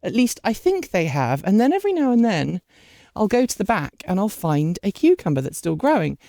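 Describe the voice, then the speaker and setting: A woman's voice: medium pitch, very animated, a little fast, creaky, warm tone, dry recording, clean studio sound. Sniffly woman chatting in her garden. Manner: chatty, rambling, with many ums. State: sniffly from a cold. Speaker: vlog host. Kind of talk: casual unscripted garden vlog; scripted outro thanking supporters. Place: outdoor garden.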